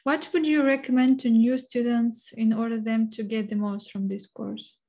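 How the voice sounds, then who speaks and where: A woman interviewer with a fairly high but flat, mellow voice asks brief questions. The sound is dull and lo-fi. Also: normal speed.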